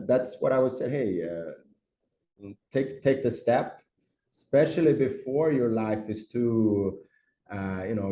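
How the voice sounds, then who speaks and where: Swedish-born guest, middle-aged, deep and mellow voice, lots of restarts, talking over a video call with roomy echo. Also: male voice, slight background noise. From Sweden. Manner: halting, many repeated words and fillers. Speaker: startup CEO, podcast guest. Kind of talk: casual interview answers. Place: office.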